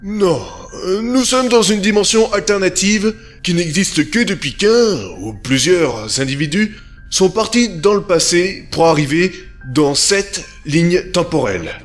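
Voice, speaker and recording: Audio drama inventor, male actor: high voice, composed, didactic, formal, light music bed. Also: lively intonation, a little slow. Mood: measured, assured. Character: calm male inventor presenting his time machine. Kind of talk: audio drama, conference speech.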